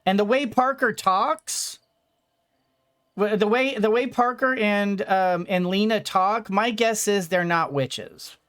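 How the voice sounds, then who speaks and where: Slow, rambling game commentator. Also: mid-range voice, fairly bright tone. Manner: rambling, thinking aloud. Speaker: let's play streamer.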